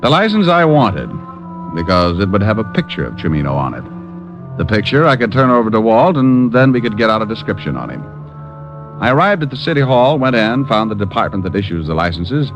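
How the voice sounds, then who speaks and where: An actor playing a wry, glib private detective in an old-time radio drama. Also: male voice, fairly low pitch, very animated, brisk, music in the background. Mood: wry narrating. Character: wisecracking, easily flustered male private detective. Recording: muffled old radio recording.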